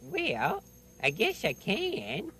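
A man's voice: very high-pitched, wildly swooping pitch, drawn-out words, bright, forceful tone, breathy edge, heavily acted. A man voicing a mild, good-natured, folksy puppet fellow in a children's show, speaking hesitantly. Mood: mild, unsure. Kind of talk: children's television puppet play.

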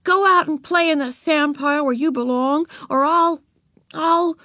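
A woman's voice: sits high, big pitch swings, moderate pace, fairly bright tone, dry recording, studio quality, voice pushed up, full character voice. A woman voicing a bossy, exasperated schoolgirl big sister who scolds and gives orders in a storybook. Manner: scolding, correcting, giving orders.

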